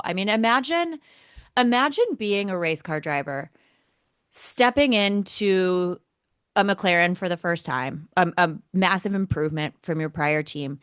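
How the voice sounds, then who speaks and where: A woman's voice: lowish voice, clear, resonant tone, dry recording, clean studio sound. A woman co-hosting a sports podcast, talking casually as a keen fan with emphatic repetition for effect. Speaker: motorsport podcast co-host.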